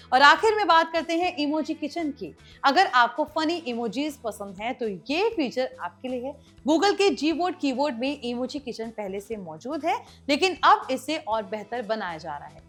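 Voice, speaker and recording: A woman's voice: high-pitched, a bit bright. A woman with an Indian accent hosting tech news, explaining scripted items in a presenter's manner. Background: background music.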